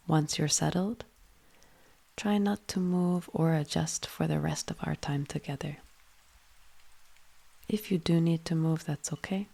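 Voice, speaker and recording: Slow, low-pitched woman guiding deep relaxation. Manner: soothing, hushed, breathy phrase endings. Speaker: yoga nidra guide. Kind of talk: guided relaxation meditation.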